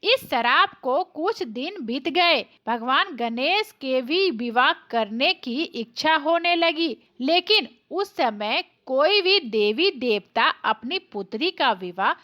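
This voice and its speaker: A woman's voice: high-pitched, animated, slow pace, few pauses, sharp tone. A woman narrating a scripted devotional story as a storyteller.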